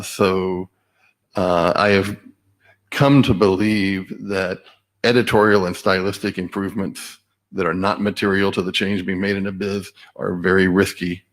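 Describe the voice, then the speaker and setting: A man speaking at a technical meeting, giving measured, explanatory comments. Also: sits low. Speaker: meeting participant at the microphone. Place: standards meeting room.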